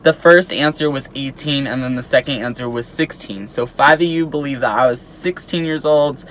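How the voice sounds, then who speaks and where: An eighteen-year-old guy talks straight to his followers in a chatty vlog, his voice sitting at an ordinary middle pitch. He fills his sentences with "like" and "um", and a faint hum of background noise runs underneath. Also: normal speed, fairly bright tone, poor audio. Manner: chatty, peppered with "like" and "um". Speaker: YouTuber. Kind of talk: casual vlog chat to camera.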